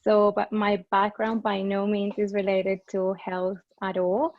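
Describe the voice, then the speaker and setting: A woman's voice: medium pitch, completely flat delivery, a little slow, no room sound. Colombian accent woman, friendly panel chat, dry lo-fi video call sound. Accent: Colombian Spanish. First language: Spanish. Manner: friendly, open, with fillers. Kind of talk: panel discussion, personal story.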